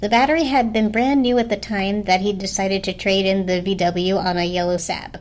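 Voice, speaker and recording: A woman's voice: medium pitch, fairly even intonation, normal speed. A woman narrating a children's story as a dramatic storybook reading. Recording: music behind the voice. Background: music.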